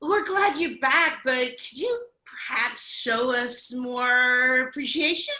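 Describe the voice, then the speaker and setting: A gushing, tipsy, prim sister, acted by a woman in a comic play reading. Her high voice is bright and politely scolding, recorded in an echoey room. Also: slow, exaggerated voice.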